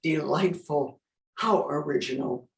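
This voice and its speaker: Gravelly-voiced woman hosting in an echoey room. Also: deep voice, measured pace. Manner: effusive, exclamatory. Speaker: host of a storytelling contest. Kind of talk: casual, appreciative emceeing. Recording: echoey room, faint noise and clicks, online call.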